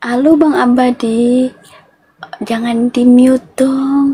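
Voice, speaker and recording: A woman's voice: high voice, level tone, takes it slowly, dark tone, breathy edge. A woman from Toraja hosting a casual live stream, chatty and teasing. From Toraja, South Sulawesi. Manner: teasing, chatty, laughs often.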